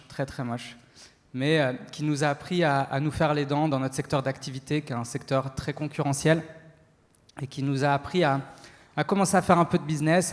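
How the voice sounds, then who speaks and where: Halting man speaking in an echoey hall. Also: medium pitch. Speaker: entrepreneur being interviewed on stage. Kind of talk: spoken testimony at a business talk event. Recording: echoey hall, long reverb.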